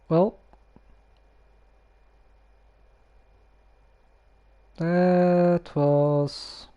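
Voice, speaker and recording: An actor voicing a calm, reassuring young male traveler in a video game, measured and understated. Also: sits high, dull audio. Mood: hesitant. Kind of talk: English video game voice acting.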